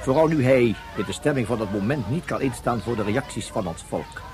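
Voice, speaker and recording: A courteous but dry official played by a man, with a medium-pitched voice and formal, measured delivery. It sounds like radio drama dialogue. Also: lively intonation, natural voice. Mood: formal, polite.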